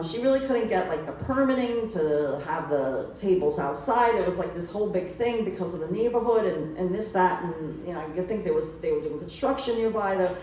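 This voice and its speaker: Low-voiced New York woman, roomy and distant. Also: a little mellow, slight background noise. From New York City. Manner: rambling, full of fillers and restarts. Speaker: author and photographer. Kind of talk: casual book talk. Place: event room.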